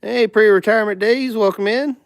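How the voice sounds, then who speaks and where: A man's voice: sits high, fairly even intonation, brisk, gravelly, no echo. A man, co-hosting a livestream, greeting viewers cheerfully and teasing his partner. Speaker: livestream co-host. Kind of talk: casual livestream chat.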